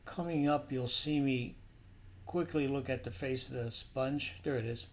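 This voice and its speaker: A man's voice: medium pitch, a little slow. A man narrating a painting demonstration as an instructor, in an informal, explanatory and somewhat hesitant way.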